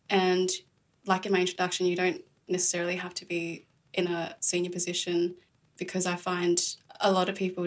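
An earnest young woman from Australia answers interview questions in a casual, reflective way. Her voice sits low and has a gravelly fry, and she talks openly and sincerely. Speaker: podcast host, interviewed as guest.

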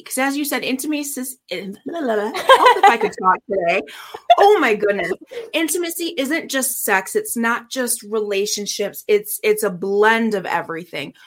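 A woman's voice: mid-range voice, fairly bright tone. A woman hosting a podcast interview, who keeps affirming her guest with short replies and asks open questions. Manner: affirming, frequent short backchannels. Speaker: podcast host.

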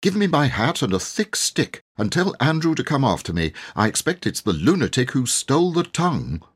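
A man voicing a flustered, well-meaning country vicar in an excitable, genteel, fussy manner for a storybook. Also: medium pitch, normal speed, breathy edge, voice pushed up. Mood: alarmed.

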